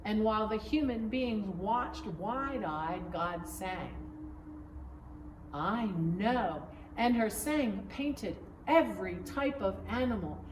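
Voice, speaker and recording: A woman pastor reading a children's story aloud in an expressive, measured storytelling manner. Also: medium pitch. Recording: roomy echo, distant mic, faint noise.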